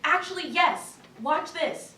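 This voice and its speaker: A woman's voice: very high voice, slow, bright, forceful tone, recorded from a distance, exaggerated voice. A young woman acting the part of a bossy, insecure new boss in a staged reading of a cartoon script. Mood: exasperated, stern. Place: live staged reading in a room. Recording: echoey room from afar, faint noise.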